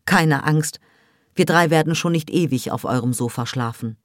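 Woman acting defiant adult daughter, exasperated, firm, annoyed, audiobook dialogue, low voice, dry recording. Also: normal speed, no echo, natural voice. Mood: determined. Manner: annoyed, firm, arguing back.

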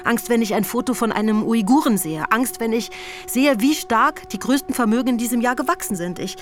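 A woman's voice: a little high, brisk, faint music behind, natural voice. An actress in a radio play voicing a jaded, outspoken woman actress in recovery, blunt, sardonic and confessional. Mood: anxious, confessional. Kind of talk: modern radio play acting.

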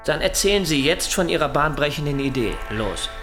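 A man's voice: fairly high pitch, animated, fairly quick, music in the background, close to an everyday voice. An actor playing a masked captor who questions his prisoner in a probing, mocking, insistent way in a German audio drama.

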